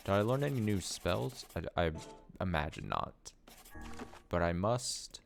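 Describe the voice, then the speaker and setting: A man with a very low, deep voice talks his way through a video game stream in a loose, chatty way. He gets excited over new gear and reads item text aloud as he goes, with faint game music under him. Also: a little slow, vocal fry. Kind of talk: casual gameplay commentary.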